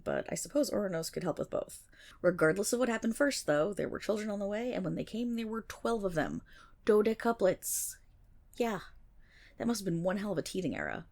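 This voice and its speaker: Nerdy, creaky-voiced best friend played by a woman. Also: medium pitch. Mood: playful, wry. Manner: animated, wry, dramatic pauses and playful asides. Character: nerdy rambling female best friend, teasing and enthusiastic. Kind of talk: ASMR roleplay, informal myth storytelling.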